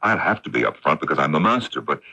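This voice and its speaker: An actor playing a hearty, domineering middle-aged country gentleman in a radio drama, brisk and persuasive. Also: very animated, exaggerated voice. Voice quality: deep, gravelly.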